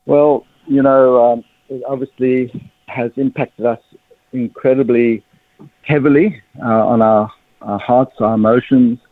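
A man's voice: medium pitch, fairly even intonation, a little slow, no echo. A man in his fifties with a South African accent, speaking earnestly and a little emotionally as a radio interview guest. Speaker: guest, ministry director. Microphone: phone line. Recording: tinny dry phone line.

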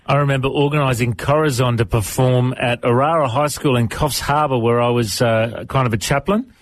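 A man hosting a radio interview, asking relaxed, conversational questions. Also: medium pitch, a little fast.

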